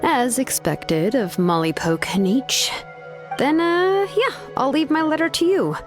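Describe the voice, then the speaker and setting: An easygoing young woman from an anime game. Her delivery is casual and candid, with very lively pitch movement, and music plays softly behind her. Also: unhurried. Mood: agreeable. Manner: casual, hesitant, conversational. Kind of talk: anime video game voice acting.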